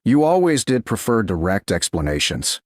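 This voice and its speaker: A composed, gentle servant woman from a romance story , read by a man with a low voice. She speaks softly in measured lines with lively intonation and a very dry sound. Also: dry recording. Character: calm, gentle woman, duke's lost love turned servant.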